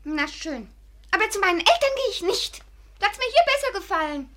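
An actress in a German radio play voicing a bratty, spoiled child film star of about twelve, pert and defiant. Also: female voice, very high voice, sing-song, fairly quick, bright, forceful tone, full character voice.

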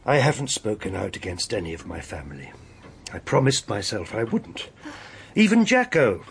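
A man's voice: on the low side, very animated, normal speed, a little hiss or hum. An actor playing an anguished, intense older father in a radio drama.

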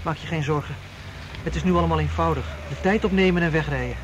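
A man's voice: fairly high pitch, fast, close to an everyday voice. A man playing a tense, self-assured getaway planner in a naturalistic radio drama, with a clipped, instructing manner. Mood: tense, confident. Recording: dull, noisy radio drama recording.

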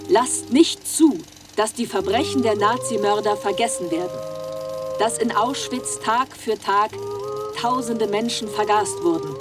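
Newsreader role for an actress: solemn, declamatory seventies state propaganda, medium pitch, clear music bed. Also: female voice, measured pace, natural voice. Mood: solemn, urgent. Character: solemn East German state newsreader woman, emphatic propaganda tone. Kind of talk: formal 1970s news announcement.

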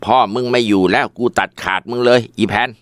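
A man's voice: mid-range voice, fairly even intonation, fast, a bit bright, clean tone, no room sound, clean studio sound, pitched above the performer's own voice, natural voice. A man voicing an older mother who scolds in coarse, cutting insults. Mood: spiteful.

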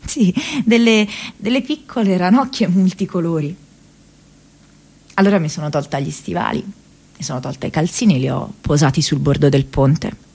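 A woman's voice: on the low side, normal speed, vocal fry, darkish tone, breathy edge. An actress playing a restless, lovelorn and self-mocking young woman in a theatrical, emotional radio monologue. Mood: reflective, tender. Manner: theatrical, emotional, with repeated phrases.

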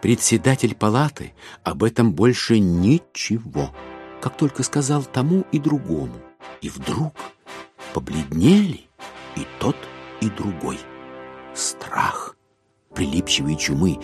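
An actor narrating a satirical classic as a wry, ironic and emphatic storyteller in an audio play. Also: male voice, low voice, sing-song, takes it slowly, music in the background, heavily acted.

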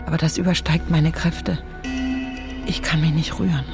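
Radio drama: drained, passive woman journalist, detached inner monologue, noticeable vocal fry. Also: low-pitched, level tone, moderate pace, over music. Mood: numb, resigned. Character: exhausted, numb journalist woman, withdrawn and passive.

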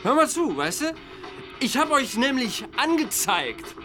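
High-voiced belligerent drunken man. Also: sing-song, a little slow, music in the background, full character voice. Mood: aggressive, drunk. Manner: slangy, coarse, confrontational. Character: drunken belligerent man quarrelling with shopkeepers and neighbours. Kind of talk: naturalistic German radio drama acting.

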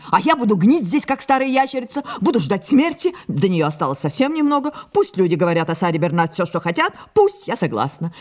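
An older actress playing an imperious, theatrical, aging great French actress in an audio drama, dramatic, commanding and mercurial. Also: female voice, mid-range voice. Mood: bitter, defiant. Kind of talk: audio drama stage acting.

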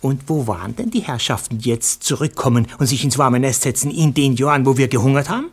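A man's voice: medium pitch, fast talker, husky. An actor playing a defensive, self-righteous man with an Austrian accent in a radio play, arguing and excusing himself. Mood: indignant, self-righteous. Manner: argumentative, self-justifying. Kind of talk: German radio play acting.

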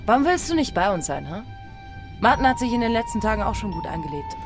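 A woman's voice: on the high side, sing-song, fast talker. A woman playing a bold, proud female pirate in a radio drama, self-assured and teasing in manner. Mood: probing. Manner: defiant, probing, self-assured. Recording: music behind the voice. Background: music.

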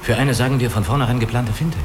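An actor in a radio drama playing a guarded, analytical spaceship crewman who speaks deliberately and reasons step by step. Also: mid-range voice, background noise, natural voice. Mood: probing, calculating. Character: guarded, analytical male spaceship crewman hiding his identity. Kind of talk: German radio play dialogue.

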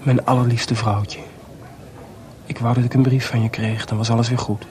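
An actor playing a proud, bitter, jealous composer husband in a radio play, grumbling and sardonic in an intimate way. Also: male voice, mid-range voice, level tone, moderate pace, background noise, natural voice. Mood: earnest, tender. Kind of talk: Dutch radio play acting.

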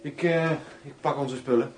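Actor as a sardonic bodyguard, roomy sound. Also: male voice, medium pitch, fairly even intonation, moderate pace, distant mic, slight background noise, natural voice. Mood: dry. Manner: dry, flippant, clipped. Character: cool, sardonic undercover bodyguard and adjutant. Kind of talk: radio drama acting.

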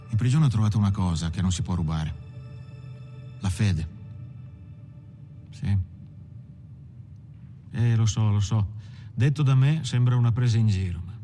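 An actor playing a weary, pious, repentant gangster, halting and earnest in a confessional film monologue. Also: male voice, fairly low pitch, warm tone, close to an everyday voice. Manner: halting, repeating himself, earnest. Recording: music behind the voice.

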